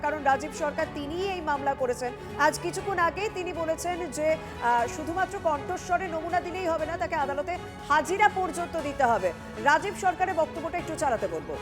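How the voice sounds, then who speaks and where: Fast, high-pitched West Bengal woman news anchor. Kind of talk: live breaking news commentary. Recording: music bed under studio voice. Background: news music.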